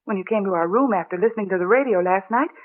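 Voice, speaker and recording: A woman acting a chatty young housewife in a 1930s radio play. Her speech is homely and expressive, and the old recording is thin and phone-like. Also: medium pitch, fast, close to an everyday voice. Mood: gently scolding. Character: cheerful, chatty young housewife in a small town.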